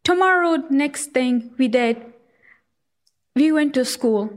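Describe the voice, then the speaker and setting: A young woman from Afghanistan telling her own life story in an earnest, halting way, with frequent fillers. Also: high-pitched, expressive. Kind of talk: personal storytelling.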